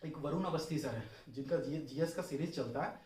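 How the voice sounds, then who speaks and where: A man's voice: fairly high pitch, a little fast, darkish tone. A young man giving an informal exam strategy lesson, instructive and colloquial, repeating points for emphasis. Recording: roomy, distant mic, faint noise.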